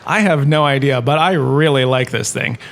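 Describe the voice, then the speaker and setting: A man's voice: medium pitch, normal speed, vocal fry, fairly bright tone. A man playing an enthusiastic, quirky lecturer, a washed-up star, in a scripted comedic audio drama, chatty and full of showy asides. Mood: enthusiastic, informative.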